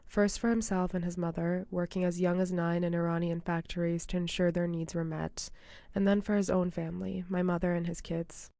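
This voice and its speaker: A young adult woman, host of a documentary podcast, reading scripted narration in a measured, formal way. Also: lowish voice, dark tone, no echo.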